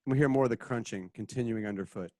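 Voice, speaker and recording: A man's voice: mid-range voice, quick pace, dark tone, no room sound, studio quality. A man narrating a radio drama, reading out stage directions and sound cues.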